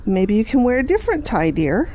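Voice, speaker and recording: A woman plays a devout, caring mother in an audio drama. She is patient and encouraging, with a mellow voice pitched a bit high. The recording carries slight noise. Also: very animated, fairly quick, rough recording. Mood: practical. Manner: gentle, encouraging, questioning. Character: patient, devout, reassuring middle-aged mother.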